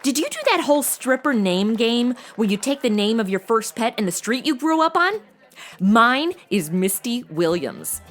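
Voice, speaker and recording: A woman's voice: a little high, animated, moderate pace, natural voice. A woman playing a brash, sarcastic young temp posing as a showgirl, talking in blunt modern slang in a noir comedy audio drama. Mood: cheeky.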